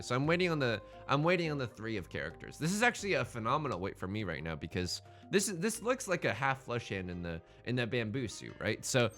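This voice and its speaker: A man's voice: mid-range voice, pushed and bright. A man gives casual running commentary as host of a let's play, thinking aloud as he goes. His mid-range voice swoops around animatedly, with joking, self-deprecating asides and playful drama. Music plays faintly behind him.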